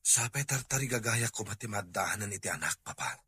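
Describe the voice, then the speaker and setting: A man's voice: fairly low pitch, animated, a little fast, bright, forceful tone, slight background noise. A man playing a frustrated Filipino husband and grown son in a drama. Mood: dejected. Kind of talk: comedy-drama acting.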